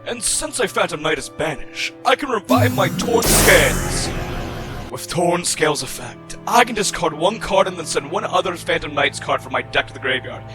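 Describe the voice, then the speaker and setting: A man's voice: on the high side, lively intonation, moderate pace, lightly acted. A man playing a scornful villainous duelist in an anime-style card battle roleplay, declaiming dramatically and formally. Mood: commanding, confident. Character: theatrical villainous duelist, scornful rival of a Chosen One. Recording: voice over loud background music.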